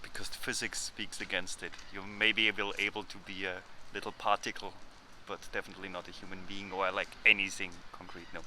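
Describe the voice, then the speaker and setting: Deep-voiced man, quick talker. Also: little pitch movement, bright, forceful tone, rough recording. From Austria. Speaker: interviewee. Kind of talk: casual street interview chat. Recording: clear outdoor traffic noise.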